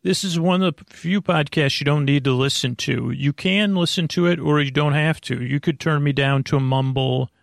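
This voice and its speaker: An American man hosting a sleep podcast, talking in a meandering, hesitant, reassuring monologue with a gravelly voice. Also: a little high, moderate pace, few pauses, dry recording, studio quality. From United States. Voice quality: creaky, gravelly. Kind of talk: rambling casual monologue.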